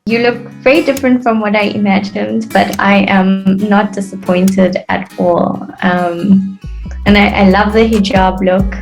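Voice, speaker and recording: Brief: South African woman, 30s, friendly casual video-call chat, mid pitch, faint music under it. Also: steady tone, unhurried, gravelly. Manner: friendly, chatty, with fillers. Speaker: participant.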